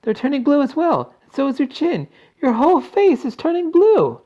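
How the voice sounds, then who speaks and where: A performer voicing a fussy, panicky mother in a storybook, with shrill screeching exclamations. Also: huge pitch swings, measured pace, vocal fry, darkish tone, muffled recording, full character voice. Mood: horrified shrieking.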